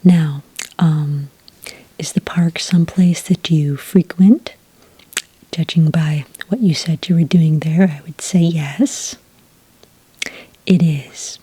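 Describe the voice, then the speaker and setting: A woman speaking in a soft, whispery voice close to the mic, playing a polite, unhurried agent in an ASMR roleplay. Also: sits low, fairly even intonation, no echo, rough recording. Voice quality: breathy, whispery. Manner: gentle, unhurried, politely procedural. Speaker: ASMR roleplayer acting as a werewolf report agent.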